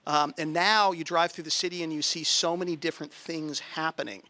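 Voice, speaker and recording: A California man talks through a handheld microphone at a meeting, plainspoken and emphatic. His tone is bright and forceful. The sound is dry and close. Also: a little high, fairly even intonation, a little fast, no echo. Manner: conversational, plainspoken, emphatic on key points. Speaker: planning commissioner speaking at a political club meeting. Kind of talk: public talk to a local group. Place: meeting room.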